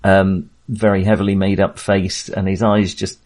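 A man co-hosting a podcast, discussing film casually in a halting, think-aloud way. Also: deep voice, flat delivery, a little mellow. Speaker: podcast co-host.

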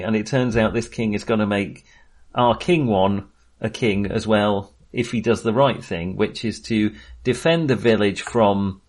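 A male podcast co-host talks casually about film in a mid-range voice. He meanders and hesitates as he goes, and tends to chuckle along the way. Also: fairly low pitch.

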